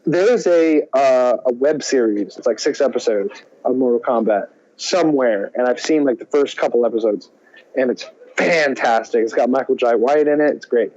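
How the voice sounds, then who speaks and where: A man with a mellow, relaxed voice rambles through his opinions in casual podcast talk. The recording is a little dull and muffled. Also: medium pitch, moderate pace. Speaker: podcast co-host. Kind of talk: casual chat.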